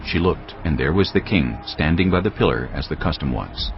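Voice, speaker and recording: A man narrating scripture as a dramatised audio Bible, with measured, solemn storytelling delivery. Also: a bass voice, animated. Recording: dull, lo-fi audio with music. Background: background music.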